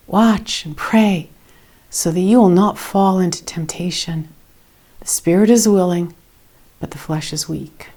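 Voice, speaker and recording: A woman voicing a sorrowful, resolute Jesus in a dramatized scripture reading, solemn, measured and often hushed. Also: on the low side. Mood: grave, urgent.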